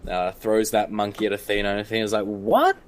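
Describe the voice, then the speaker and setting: A man's voice: on the low side, little pitch movement, fast. A man giving live esports commentary in a jokey, slangy, playful way. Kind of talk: live game commentary with casual banter. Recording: clearly noisy background.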